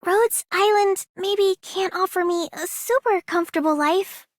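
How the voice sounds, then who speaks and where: A woman's voice: extremely high pitch, a little slow, dry recording. An actress voicing an earnest, grateful, slightly flustered young female inventor in a video game. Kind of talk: video game character voice lines.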